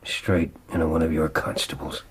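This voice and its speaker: A grim, vengeful murderer giving his confession in a radio drama. The voice is extremely low and full of gravel, dramatic and unrepentant, with faint noise behind it. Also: fairly even intonation, moderate pace. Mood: grim, brooding. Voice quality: very deep, gravelly.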